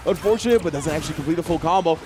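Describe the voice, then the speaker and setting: A man giving live color commentary on a fighting game match, measured and analytical with casual slang. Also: on the high side, music in the background.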